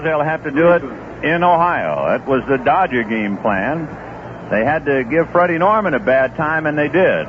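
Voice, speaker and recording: A man calling a baseball game live on radio, with relaxed, conversational play-by-play and chatty asides. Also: fairly high pitch. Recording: dull old radio broadcast with noise.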